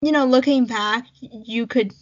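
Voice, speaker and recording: A woman's voice: on the high side, unhurried, highs cut off. A young woman whose first language is Cantonese, co-hosting a podcast in casual chat and telling a personal story with frequent laughs. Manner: chatty, laughs often, storytelling. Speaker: podcast co-host.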